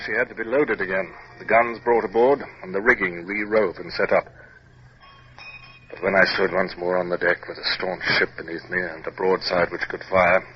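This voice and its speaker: A muffled, hissy 1950s radio recording carries a man acting a British naval captain. His voice is low, his speech formal and clipped, with a stern sense of duty and command. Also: natural voice. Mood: reflective narration. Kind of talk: 1950s radio drama acting with first-person narration.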